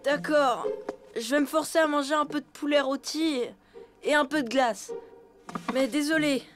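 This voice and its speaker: A woman voicing a blunt, grumbling cartoon elephant child who speaks slowly and matter-of-factly. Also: high-pitched, natural voice.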